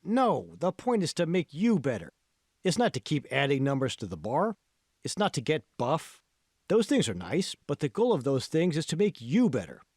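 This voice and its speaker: A man's voice: on the high side, huge pitch swings, moderate pace, darkish tone, no echo, studio quality, heavily acted. A man voicing a patient male fitness mentor in an audiobook, coaxing and instructing with emphasis. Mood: firm, motivating.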